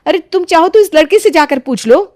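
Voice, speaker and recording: A woman playing a scheming, quarrelsome young wife in a melodramatic, accusing manner for an animated moral story. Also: very high voice, a little fast, no echo, studio quality. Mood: self-righteous. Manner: accusing, melodramatic, self-righteous. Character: scheming, quarrelsome young married daughter-in-law.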